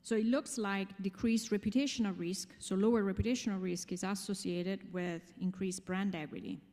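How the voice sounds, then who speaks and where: A woman's voice: medium pitch, fairly even intonation, normal speed, darkish tone. A woman with an Italian accent giving an explanatory, emphatic conference presentation, often checking in with rhetorical questions. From Italy. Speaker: conference speaker. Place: large conference room, speaking to an audience. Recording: long hall reverb.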